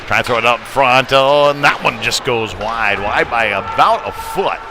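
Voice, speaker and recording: Man calling hockey over clear background noise. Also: medium pitch, moderate pace. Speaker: hockey play-by-play announcer. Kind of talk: live sports commentary. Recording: noisy live rink stream.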